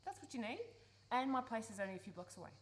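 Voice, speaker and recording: A woman playing a pushy, organising adult daughter in a live radio play, brisk and persuasive, easily exasperated. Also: on the high side, lively intonation. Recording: noisy live theatre recording.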